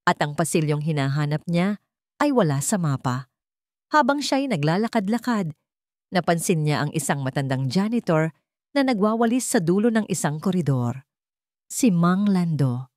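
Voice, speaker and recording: A woman with a Filipino accent narrates a scripted drama. Her voice sits low, and the sound is dry, with hardly any room in it. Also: darkish tone, no room sound. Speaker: story narrator.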